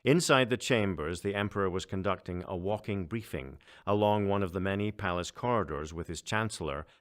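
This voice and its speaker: A man's voice: sits low, a bit bright. A man reading scripted fiction as an audiobook narrator.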